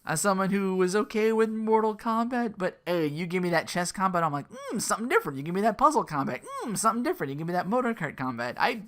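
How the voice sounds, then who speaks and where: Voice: medium pitch, quick pace, few pauses. A podcast host giving casual solo commentary on video games, rambling and profane, often acting out reactions. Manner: rambling, animated asides, profane, acts out reactions.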